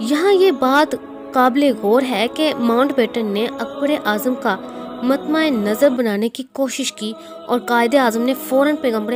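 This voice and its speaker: A woman with a fairly high voice delivers scripted historical narration. Her manner is formal and declamatory, like a prepared speech. Music plays clearly behind her. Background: clear background music.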